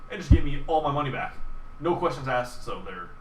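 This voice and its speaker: A man's voice: medium pitch, expressive, a little fast. A man chatting casually about video games as a podcast host, with an enthusiastic and casually profane manner. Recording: roomy, distant mic, faint noise.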